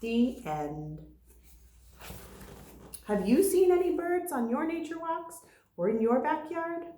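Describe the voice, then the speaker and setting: A woman teacher reading a picture book aloud to young children in an expressive, deliberate way. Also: high voice. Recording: roomy, echoing sound.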